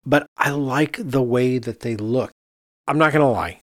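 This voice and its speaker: A man's voice: mid-range voice, measured pace, no echo, clean studio sound. A middle-aged man hosting a podcast in casual conversation, speaking candidly and emphatically and repeating phrases to make his point. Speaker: podcast host, architect.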